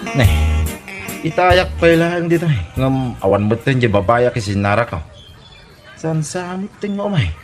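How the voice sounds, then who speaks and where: A man's voice: mid-range voice, big pitch swings, moderate pace, music in the background, close to an everyday voice. A man with an Ilocano Filipino accent playing a sheepish, pleading young womanizer in a radio comedy drama. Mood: flustered, pleading. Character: sheepish, pleading womanizer dumped by his girlfriend.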